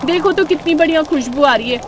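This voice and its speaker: Woman acting a young indian-story daughter-in-law, kinda high voice, caring but pushy, cartoon drama. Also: fairly quick, background noise, lightly acted. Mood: cheerful calling.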